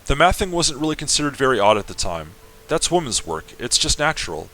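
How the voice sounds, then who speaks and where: A man's voice: medium pitch, big pitch swings, brisk, bright, forceful tone, voice pushed up. A man reading the lines of an apologetic, chatty female ship AI from a game. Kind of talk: semi-dramatic reading of a game.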